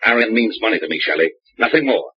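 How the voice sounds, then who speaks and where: A pompous, ruthless counterfeiter. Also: male voice, on the low side, animated, fast talker, husky. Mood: cold. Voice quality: pompous, curt. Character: arrogant, ruthless male counterfeiter. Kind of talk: 1940s radio acting. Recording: dull dry old radio broadcast.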